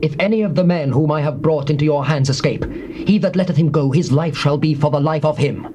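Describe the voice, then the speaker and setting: A male actor plays a cunning, ruthless biblical king with a fairly high male voice. He declaims his orders in theatrical scripture style. The old cassette recording is clearly noisy. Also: a little fast, close to an everyday voice. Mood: ruthless command. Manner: declamatory, commanding. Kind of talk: dramatized scripture reading, theatrical stage delivery.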